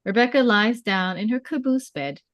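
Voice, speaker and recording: A woman's voice: a little high, lively intonation, normal speed, fairly bright tone, dry recording. A woman reading stage directions aloud as scripted narration at a script table read.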